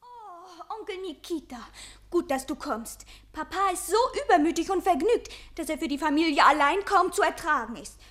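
A clever little girl in a radio drama. The voice is very high, with a knowing, precocious and slightly preachy way of talking. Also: animated, moderate pace, a bit bright. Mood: exasperated. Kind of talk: German radio play acting.

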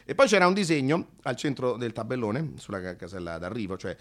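A man narrates a comic radio monologue in a medium-pitched voice, recorded close and dry. He performs theatrically, with halting repetitions, and slips into bits of acted dialogue. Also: expressive, a bit bright, dry recording. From Rome, Italy. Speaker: actor and narrator.

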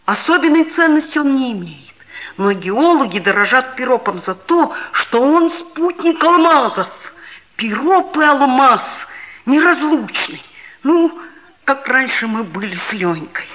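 An actor playing an earnest, self-assured schoolboy, a geologist's son, who narrates and talks in a measured way in a 1960s radio play. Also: sits high, animated, narrow, tinny audio. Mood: earnest, storytelling. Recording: band-limited 1960s radio play recording.

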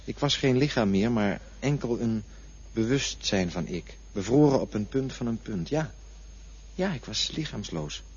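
An actor playing a bewildered man who wakes in a coffin and haltingly describes what he saw. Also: medium pitch, animated, breathy edge. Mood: dazed, wondering. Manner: dreamy, halting, searching for words. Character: bewildered man waking in a coffin after dying. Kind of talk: radio drama acting. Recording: dull lo-fi radio play recording, faint hiss.

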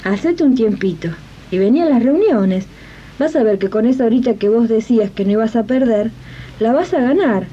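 A woman acts out a supportive, upbeat Argentine domestic worker rallying her friends. Her voice is fairly high and very soft-edged, with colloquial, encouraging delivery. It plays like an old 1980s radio drama on muffled tape. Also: expressive, moderate pace, breathy edge. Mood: persuasive, rallying. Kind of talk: 1980s community radio play acting.

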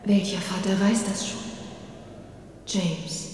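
Echoing as if across a great hall, an actress plays a woman's ghost, an angelic mother with a soft, mellow voice. She speaks tenderly and solemnly, reassuring the listener in this radio play, a little far from the mic. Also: medium pitch, little pitch movement, slow pace, close to an everyday voice. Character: gentle angelic ghost of a loving dead mother. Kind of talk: film audio drama acting. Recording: echoey hall, distant, faint noise.

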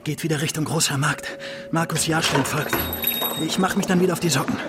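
A man's voice: fairly high pitch, steady tone, brisk, very noisy, natural voice. An actor playing an informal, bumbling undercover policeman in a radio drama. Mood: breezy. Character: chatty, bumbling male undercover police officer. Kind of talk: naturalistic radio drama dialogue. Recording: loud music behind.

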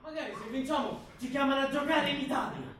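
An actor playing an excitable, eager young rugby player with big dreams in an Italian stage drama. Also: male voice, extremely high pitch, fast. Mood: eager, excited. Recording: very echoey, distant, slightly noisy stage recording.

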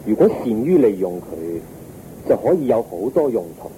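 An actor playing a serene, wise Buddha in a radio drama, teaching in a measured, solemn way with a Hong Kong Cantonese accent. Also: male voice, on the low side, sing-song, mellow. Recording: muffled radio recording, faint noise.

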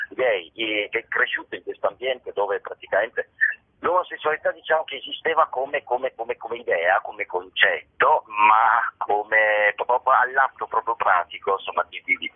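Male interviewee, rather low husky voice, casual and searching, repeats words, telephone-quality audio. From Italy, province of Vicenza. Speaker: actor and playwright being interviewed. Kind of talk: informal, spontaneous interview talk. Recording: muffled, narrow telephone-line sound.

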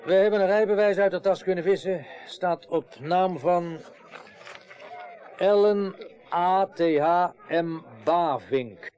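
An actor playing a patrol officer in a radio drama, excitable, with clipped procedural speech. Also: male voice, high voice, slow pace. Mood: clipped, official.

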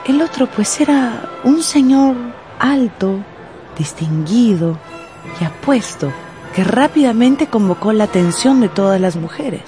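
A woman tells the story as a radio-drama narrator. Her delivery is playful and very lively in pitch, with dramatic pauses and music behind.

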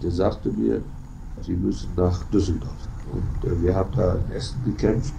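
Older man, gravelly, halting and rambling storyteller on a noisy old interview tape. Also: steady tone. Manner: rambling, halting, repeats words. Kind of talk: casual spoken reminiscence. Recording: noisy archive interview tape, rumbling background.

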